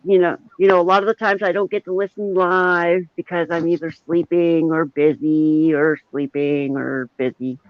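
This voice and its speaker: A man's voice: on the high side, little pitch movement, normal speed, few pauses, clear, resonant tone. A middle-aged man chatting casually on a live show in a self-deprecating, rambling way. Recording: dull lo-fi audio with roomy echo.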